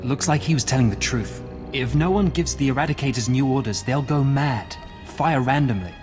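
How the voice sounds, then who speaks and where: An actor playing an earnest, eager field agent giving a brisk report. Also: male voice, mid-range voice, big-room sound, over music, natural voice.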